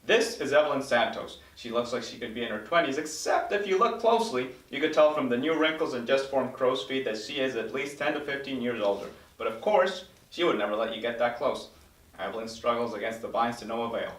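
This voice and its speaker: A man's voice: mid-range voice, lively intonation, a little fast, a bit bright. A man reading stage directions as scripted narration at a table read. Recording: roomy, distant mic.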